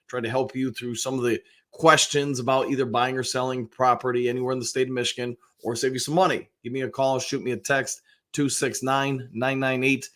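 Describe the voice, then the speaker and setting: American guy doing a live sports fan show, voice a bit hoarse, chatty and rambly, mid pitch. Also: a bit bright. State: slightly hoarse. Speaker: sports show host, basketball coach and realtor.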